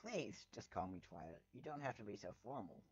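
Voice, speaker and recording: A man's voice: on the low side, sing-song, brisk, breathy edge, no room sound, dull audio, voice pushed down. A man voicing a bookish, frantic young female unicorn in a story reading, earnest and exasperated. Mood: friendly.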